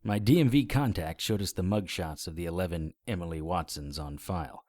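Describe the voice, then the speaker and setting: Low-voiced deadpan private eye played by a man. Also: a little fast, natural voice. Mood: measured narration. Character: hard-boiled male private investigator, wry, calm, unflappable. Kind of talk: first-person noir audio drama narration. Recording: music behind the voice.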